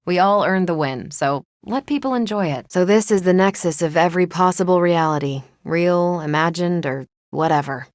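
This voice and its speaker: Woman voicing low-pitched, wry American superheroine. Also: normal speed, hardly any pauses, no room sound, natural voice. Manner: dry, wisecracking, self-assured. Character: confident, wry superheroine, ex-Air Force pilot, woman. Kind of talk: video game voice acting.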